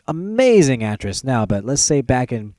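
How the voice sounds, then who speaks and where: A man's voice: medium pitch, big pitch swings, moderate pace, warm tone, dry recording, studio quality. A man hosting a casual podcast chat, talking in an informal, agreeable way. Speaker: podcast host.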